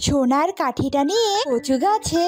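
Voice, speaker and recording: A woman's voice: sits high, animated, moderate pace, a little mellow, faint music behind. A woman storyteller reading scripted narration for a children's cartoon.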